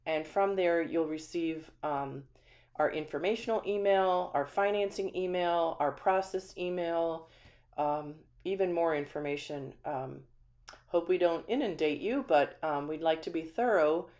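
Low-voiced woman giving a chatty home tour. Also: measured pace, a little mellow. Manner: friendly, chatty, frequent ums. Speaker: manufactured home community manager. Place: empty small house, indoors, then voice-over.

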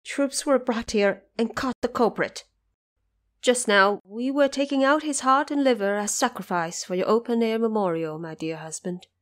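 A woman's voice: on the high side, normal speed, lightly acted. A woman narrator voicing a devoted noble wife in an audio drama, earnest and tender as she recounts an ordeal.

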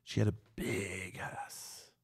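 A man's voice: low-pitched, flat delivery, takes it slowly, husky. A middle-aged man with a French accent, a native French speaker, chatting bluntly as a guest in a small podcast studio on a studio mic. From France. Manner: blunt, laughs often, frequent fillers. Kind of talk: casual interview chat. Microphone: studio microphone, wearing headphones.

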